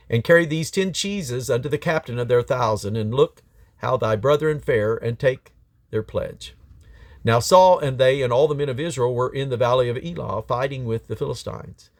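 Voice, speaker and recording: A man's voice: mid-range voice, no echo. A man reading scripture aloud in a declamatory way, in short phrases with occasional small stumbles. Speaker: Bible reader. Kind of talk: scripture read aloud from the King James Bible.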